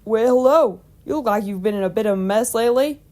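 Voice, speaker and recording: A woman's voice: on the high side, fast, a little mellow, natural voice. A woman voicing a kindly old male farmer with a folksy, hearty manner and a rural country accent, in a storybook reading. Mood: jovial.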